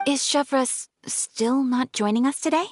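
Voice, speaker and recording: A woman's voice: high voice, normal speed, no echo, studio quality. A woman playing a friendly, polite and sociable young woman in a video game. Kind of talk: English video game voice acting. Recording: music underneath.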